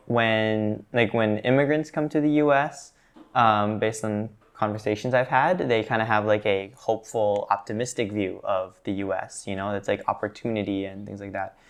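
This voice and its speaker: Thoughtful young man with a low voice. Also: normal speed, smooth. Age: young adult. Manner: thoughtful, hedging with fillers. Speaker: student panelist. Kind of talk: class discussion.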